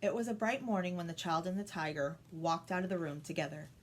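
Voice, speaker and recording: A woman's voice: on the low side, fast, noisy background. A woman storyteller reading a children's story expressively.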